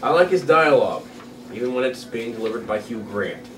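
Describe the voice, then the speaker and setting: A man's voice: medium pitch, expressive, a little fast, close to an everyday voice. A man reading a dry, slightly awkward nerdy friend in a comedy table read. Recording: roomy, distant mic, faint noise.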